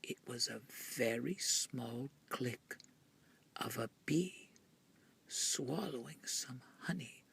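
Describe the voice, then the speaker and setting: A man reading a picture book expressively to children, breathy and often near a whisper, with drawn-out, dramatic delivery. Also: mid-range voice, animated, a bit bright, a little hiss or hum. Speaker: children's story reader.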